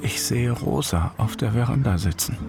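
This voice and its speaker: An actor playing a pained, lovestruck man, narrating in a hushed, halting, breathy way in a radio play. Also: mid-range voice, music in the background, close to an everyday voice. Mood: aching, tender.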